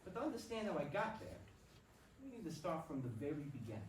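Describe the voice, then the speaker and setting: A man's voice: a little high, big pitch swings, fast. A man playing an earnest, boyish New York ballplayer in a staged play reading. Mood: proud, solemn. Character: New York ballplayer, proud narrator and insecure teenage son. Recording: echoey room, far mic, faint noise.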